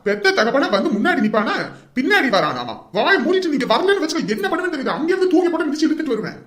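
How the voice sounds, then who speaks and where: A woman's voice: mid-range voice, rapid-fire, close to an everyday voice. A woman playing a bossy, hot-tempered male elder in a village family drama, blunt and commanding. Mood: angry scolding. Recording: roomy, echoing sound.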